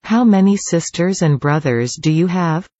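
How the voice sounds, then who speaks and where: A woman's voice: on the low side, expressive, a little slow, warm tone, no echo, highs cut off. A woman reading short English questions for a language lesson in a careful, scripted way. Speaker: English dialogue reader.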